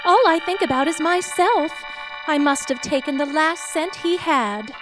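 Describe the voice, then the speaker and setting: A woman's voice: high-pitched, moderate pace, music in the background, close to an everyday voice, rough recording. A woman playing a troubled, grateful townswoman in prayer in a radio play. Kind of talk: live radio play acting.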